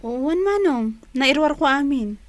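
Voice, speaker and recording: Dutiful, high-voiced Filipino fruit seller. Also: female voice, lively intonation, measured pace, faint music behind. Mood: earnest. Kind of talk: Ilocano radio drama acting.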